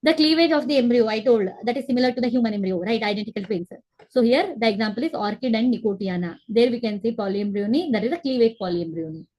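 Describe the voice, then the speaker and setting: A young woman with a fairly high voice teaches a biology lesson from a room at home. She speaks into a laptop webcam on a video call. She goes through each step slowly, repeats the key words, and keeps checking that her students understand. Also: animated, a little mellow, poor audio. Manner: explains step by step, repeats key terms, asks students to confirm. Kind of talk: online class lecture.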